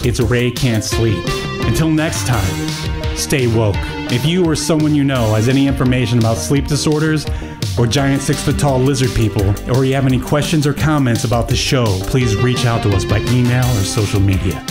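A man's voice: mid-range voice, moderate pace. A man reading a scripted podcast outro as the show's announcer. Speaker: show announcer. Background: loud guitar music.

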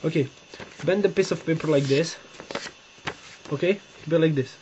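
Halting Romanian-accented young man. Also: on the high side, darkish tone. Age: young adult. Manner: halting, searching for words, repeats himself. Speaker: tutorial maker. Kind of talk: casual step-by-step demonstration. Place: bedroom, seated on a bed indoors. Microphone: webcam close-up. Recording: dull lo-fi webcam audio with faint hiss.

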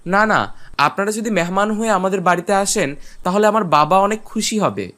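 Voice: medium pitch, animated, normal speed, a bit bright, close to an everyday voice. A performer playing a polite, earnest and eager village boy in a cartoon. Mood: polite, helpful. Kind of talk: cartoon voice acting.

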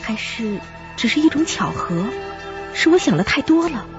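Radio drama lead: reflective young web editor narrating uneasily actress, very varied melody, slightly dull recording. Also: female voice, on the high side, big pitch swings, moderate pace. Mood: troubled, searching. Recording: dull lo-fi audio. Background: music.

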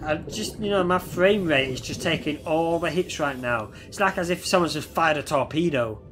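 Man with a cold, nasal, bright voice, casual gameplay commentary, headset mic. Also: a little high, moderate pace, a little hiss or hum. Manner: swears often and talks to the creatures on screen. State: head cold, stuffy nose. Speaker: gameplay streamer.

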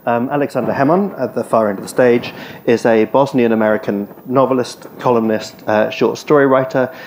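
A man's voice: medium pitch, fairly even intonation, a little fast, darkish tone, a little hiss or hum. A man moderating a panel discussion on a festival stage, speaking with frequent hesitant fillers. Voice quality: hesitant, filler-heavy phrasing. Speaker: event chair.

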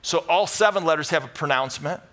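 A middle-aged American man delivers a sermon from a church stage. His voice sits a bit high and has a bright, forceful edge. He talks in short, punchy phrases, repeating key words for emphasis while staying conversational. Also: quick pace. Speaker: pastor. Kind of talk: sermon to a live congregation with Bible teaching.

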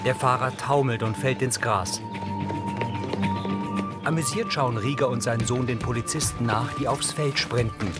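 A man's voice: medium pitch, unhurried, pauses often. Neutral male narrator with music behind. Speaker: audio-description narrator. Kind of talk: scripted narration of a TV crime series.

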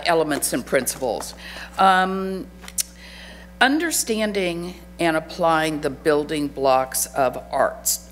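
A woman's voice: low voice, a bit bright. A middle-aged woman giving an art lecture at a podium microphone, chatty and self-deprecating, with a faint hum behind her. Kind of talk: lecture to an adult audience. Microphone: podium microphone on a stand.

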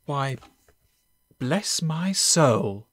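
Kindly, very slow-spoken gentleman. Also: medium pitch, lively intonation, no room sound, exaggerated voice. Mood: moved, welcoming. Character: kindly, welcoming gentleman nephew.

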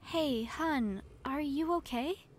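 Woman playing an anxious, high-voiced young survivor. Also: slow pace. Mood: worried. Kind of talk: animated webcomic fan dub.

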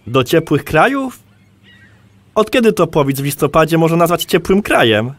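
A man's voice: a little high, very animated, moderate pace. An actor playing a curious, slightly skeptical man in a conversational audio drama, asking questions.

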